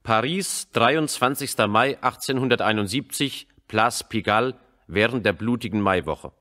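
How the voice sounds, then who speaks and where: A man narrating a radio drama from a script in a sober, measured delivery. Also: mid-range voice, pushed and bright, natural voice.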